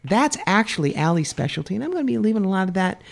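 A woman's voice: medium pitch, sing-song, fast talker, vocal fry. A woman psychic guest on a radio show, talking in a wry, blunt, joking way. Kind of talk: casual radio chat. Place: radio studio.

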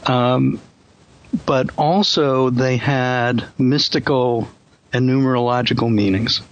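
A man's voice: medium pitch, steady tone, slow, lo-fi sound. A man hosting a casual discussion, speaking thoughtfully with frequent ums and you-knows. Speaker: host.

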